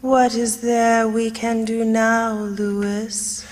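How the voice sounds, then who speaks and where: A very slow, serene female ghost. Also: on the high side, flat delivery, long reverb tail. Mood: calm. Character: serene, gentle female ghost of a murdered woman. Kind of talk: theatrical radio drama acting.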